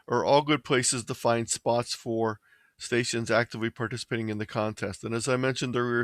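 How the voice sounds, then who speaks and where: An American man presents a tutorial in a plain, step-by-step manner. His voice sits at an average pitch for a man. The recording is dry, with very little room sound. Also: fairly bright tone, no echo. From Ohio, United States. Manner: plain, methodical explaining. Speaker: presenter of an amateur radio tutorial. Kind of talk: instructional slideshow presentation.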